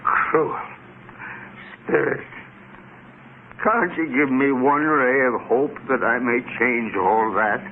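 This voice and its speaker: An actor playing a frightened, remorseful old miser, pleading haltingly in vintage radio drama style. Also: male voice, mid-range voice, a little hiss or hum. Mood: anguished. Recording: old narrow-band radio recording, loud music behind.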